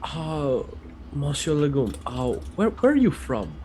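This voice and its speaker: A man's voice: a little high, big pitch swings, a little slow, noisy background. Curious man asking a game master questions. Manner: curious, asks short questions. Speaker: player. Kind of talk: casual play at a tabletop game.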